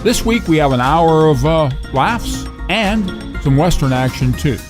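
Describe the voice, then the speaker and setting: Slightly high-voiced male host over music. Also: lively intonation, unhurried. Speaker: host of a radio download show. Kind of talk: casual scripted programme introduction.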